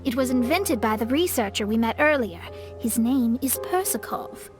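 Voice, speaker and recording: A confident young female astrologer, played by a woman, explains things in a high, articulate voice. She is analytical and precise, as in a game cutscene, with soft music behind her. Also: animated, moderate pace, lightly acted. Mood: knowing, explanatory. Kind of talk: scripted game cutscene exposition.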